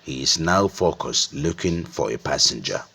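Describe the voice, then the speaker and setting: Male storyteller, Nigerian accent, extremely low husky voice, deliberate descriptive folktale narration. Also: lively intonation, bright, forceful tone.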